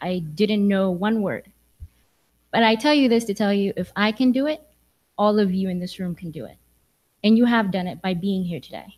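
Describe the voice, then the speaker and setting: A woman's voice: medium pitch, animated, fast talker, a little mellow. A young woman from Sudan giving an earnest, encouraging speech to graduates in a church hall. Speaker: graduation speaker.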